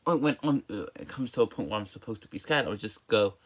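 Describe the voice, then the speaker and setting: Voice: medium pitch, expressive, fast. A person giving a casual, opinionated movie review in an exasperated, emphatic way, often stammering and repeating words and syllables.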